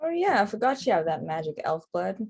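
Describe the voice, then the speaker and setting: A woman's voice: mid-range voice, sing-song, a little fast, creaky, a little mellow, breathy edge, full character voice. A woman acting a blunt, sardonic young paramedic in a rehearsed table read. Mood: playful, weary.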